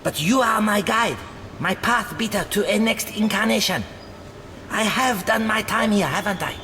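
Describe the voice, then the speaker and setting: An actor playing a solemn, guarded cult follower in a video game, speaking portentously. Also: male voice, sits high, bright, forceful tone, slight background noise. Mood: weary, awed. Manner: slow, portentous. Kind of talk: video game cutscene acting.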